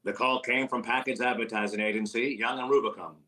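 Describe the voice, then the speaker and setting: A man's voice: medium pitch, steady tone, a little fast, pushed and bright, close to an everyday voice. A man playing a sardonic, wisecracking vaudeville-style comedian in a 1930s radio comedy. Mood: wry, mocking.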